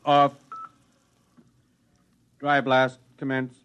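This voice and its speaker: Forceful, self-important science director. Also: male voice, medium pitch, completely flat delivery, very slow, muffled recording, a little hiss or hum. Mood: curt, commanding. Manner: clipped, commanding, dictating orders. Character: brisk, self-important male director of a thinkers' foundation. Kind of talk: old radio drama acting.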